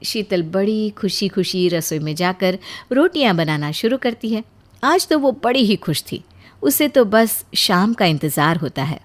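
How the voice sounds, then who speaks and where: A woman narrating a scripted family story for an animated video. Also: mid-range voice, a little slow.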